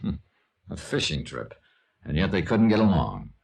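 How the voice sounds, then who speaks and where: A man's voice: low voice, big pitch swings, moderate pace, vocal fry, darkish tone, full character voice. An actor playing a shrewd, sardonic male investigator in a 1950s radio detective drama, with clipped, probing delivery. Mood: probing, skeptical. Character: shrewd, wry male insurance investigator, hard-boiled sleuth. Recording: vintage radio drama recording.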